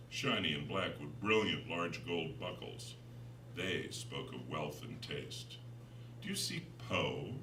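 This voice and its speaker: A low-pitched man narrates a gothic novel dramatically, with a bright, forceful tone. He sounds set back from the mic in a roomy space, with clear background noise. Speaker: author reading his own novel. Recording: roomy, distant, noisy recording.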